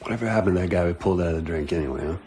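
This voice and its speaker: A man's voice: low-pitched, rapid-fire, darkish tone, natural voice. A man playing a plain-spoken former Marine in a military video game. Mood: matter-of-fact. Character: plain-spoken ex-Marine soldier narrating his service. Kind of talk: video game narration and dialogue.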